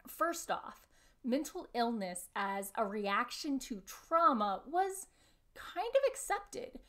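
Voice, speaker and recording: A woman in her thirties giving an explanatory talk to camera at home, with deliberate phrasing. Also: on the high side. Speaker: vlogger presenting panel notes. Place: small furnished room at home, indoors.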